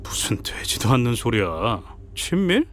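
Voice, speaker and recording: Gruff, scornful tough guy. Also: medium pitch, sing-song, unhurried, fairly bright tone. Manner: curt, sneering. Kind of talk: Korean game voice acting.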